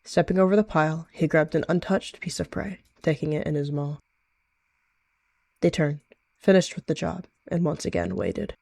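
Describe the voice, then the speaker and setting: A man's voice: a little high, expressive, moderate pace, vocal fry, a little mellow, no echo. A man giving dramatic third-person narration as a player in a story roleplay.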